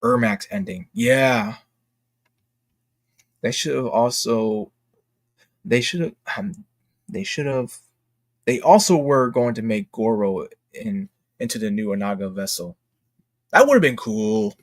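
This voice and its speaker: A man's voice: lowish voice, big pitch swings, a little slow, pauses often, no echo. A man hosting a casual livestream, chatting informally and emphatically with strong opinions and slang like dude and bro. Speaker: livestream host. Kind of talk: casual livestream chat about games.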